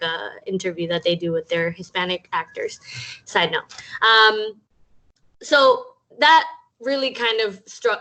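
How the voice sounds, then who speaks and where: A woman's voice: medium pitch, sharp tone, low-quality recording. Chatty woman speaking on a video call. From Cuba. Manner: chatty, many um fillers. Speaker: guest speaker. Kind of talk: casual talk on a video call.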